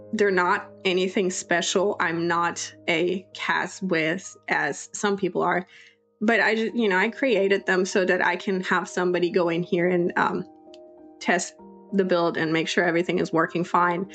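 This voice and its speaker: A young woman with a sore throat and a raspy voice, chatting through a casual voiceover for her game-building video, apologetic and full of restarts. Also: on the low side, fairly bright tone. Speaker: YouTube creator. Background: faint background music.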